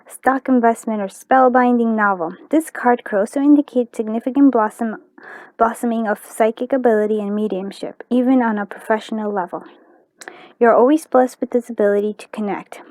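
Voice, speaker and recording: A woman with a fairly high voice hosts an informal tarot reading. She talks to her viewers in a chatty way, thinking aloud with hesitant pauses. The sound is close and dry. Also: darkish tone, no room sound. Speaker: tarot card reader and channel host. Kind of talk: casual spoken pick-a-card tarot reading to viewers.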